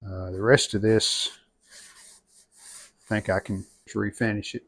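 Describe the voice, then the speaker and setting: Guy doing a hands-on restoration vid, kinda low creaky voice, halting and plainspoken. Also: fairly even intonation, a bit bright. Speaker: radio restorer presenting own channel. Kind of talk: unscripted hands-on restoration walkthrough.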